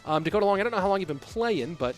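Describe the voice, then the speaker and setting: A man casually casting an esports stream, reading viewer chat aloud and answering in a relaxed, rambling way. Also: sits high, racing, soft background music.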